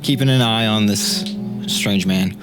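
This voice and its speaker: A man plays his part in a tabletop audio drama with casual, improvised delivery. His voice is on the low side, with music clearly behind him. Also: unhurried. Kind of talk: casual improvised role-play.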